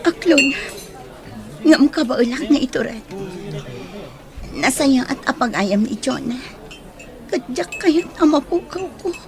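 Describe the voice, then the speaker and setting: A woman acts out a lovelorn young Filipino woman in an audio drama. Her high-pitched voice is emotional and defensive, that of someone guarded and self-sacrificing. Clear crowd sounds surround her. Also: lively intonation, slow, pauses often, heavily acted. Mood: pained, resigned. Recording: busy crowd sounds behind voice.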